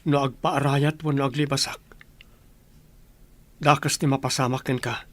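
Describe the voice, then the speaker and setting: An actor plays a respectful, dutiful village man in a radio drama. His voice sits at an ordinary middle pitch. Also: steady tone, moderate pace, a bit bright, dry recording, natural voice. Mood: worried. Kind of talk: Ilocano radio drama acting.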